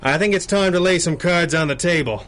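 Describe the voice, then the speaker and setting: Casting: male actor as breezy costumed impostor hero, glib, slightly high voice, old-time radio adventure. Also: brisk, fairly bright tone, lightly acted. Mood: glib, confident. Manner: glib, easygoing. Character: breezy masked man posing as a superhero. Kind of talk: 1930s radio adventure acting.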